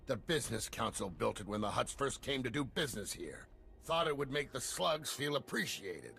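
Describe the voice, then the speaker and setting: An actor playing a gruff, irreverent veteran security chief, blunt and wry in an informal way. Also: male voice, mid-range voice, big pitch swings, normal speed, bright, forceful tone, soft background music, exaggerated voice. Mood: brisk briefing.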